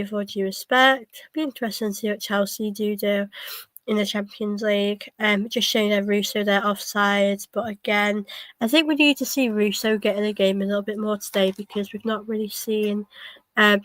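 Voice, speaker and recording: A woman's voice: medium pitch, fairly even intonation, normal speed, fairly bright tone, no room sound, poor audio. A young woman with a cold hosting a fan watchalong, talking through the match casually with lots of ums and restarts. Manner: hesitant, many ums and restarts, chatty. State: has a cold, coughing. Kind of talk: casual live match commentary.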